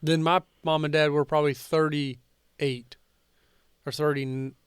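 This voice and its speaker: A man in his thirties co-hosting a podcast with slow, deadpan wisecracks. His voice is a little higher than most and smooth and clear. The room sound is dry. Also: no echo. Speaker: podcast co-host. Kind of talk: casual chat.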